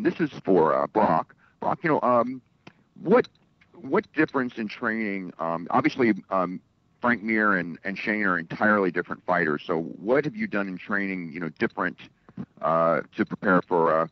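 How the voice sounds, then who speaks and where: A man working as a sports reporter, asking questions in a halting, stop-start way over a conference call. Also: sits low, animated, dry recording. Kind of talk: casual press questioning. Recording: dull lo-fi conference call line.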